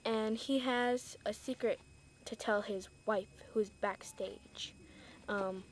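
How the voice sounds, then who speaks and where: A woman playing a composed, nosy talk-show host in an improvised puppet show, presenting plainly and deliberately. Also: mid-range voice, fairly even intonation, pitched below the performer's own voice, close to an everyday voice. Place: store floor among toys. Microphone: handheld camera.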